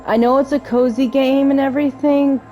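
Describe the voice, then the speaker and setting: A woman's voice: high voice, little pitch movement, a little fast, dark tone, highs cut off, faint music behind. A woman giving casual let's-play commentary, thinking aloud as she plays and talking to the game's characters. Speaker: gaming streamer narrating her play.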